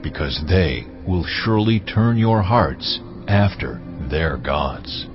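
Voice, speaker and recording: A solemn God in an audio Bible drama. The voice is low and commanding, each phrase measured out very slowly. Clear music plays behind it. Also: male voice, expressive, muffled recording. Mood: stern warning. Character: God, solemn male divine lawgiver, grave warning.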